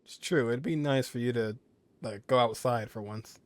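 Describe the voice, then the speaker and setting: A man's voice: mid-range voice, normal speed, no echo. A man giving casual, off-the-cuff commentary as he streams a game and reads dialogue choices aloud. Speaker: gaming streamer.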